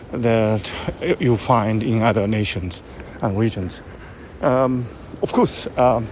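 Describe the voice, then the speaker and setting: Japanese-accented man musing outdoors. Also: medium pitch. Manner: halting, many uh fillers and pauses. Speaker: host. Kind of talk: casual spoken commentary. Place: outdoors in a city. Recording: outdoor street noise, traffic.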